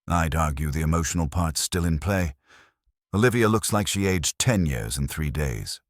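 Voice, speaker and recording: A man plays a detective's wry right-hand man in a mystery audiobook. He is very low in pitch and recorded dry. His replies are clipped and alert. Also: expressive, normal speed, no echo. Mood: questioning.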